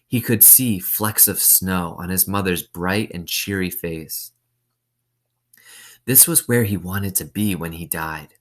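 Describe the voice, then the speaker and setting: A man reading a children's novel aloud, pausing to explain things simply and put questions to listeners. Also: deep voice. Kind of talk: read-aloud storytelling with explanatory asides.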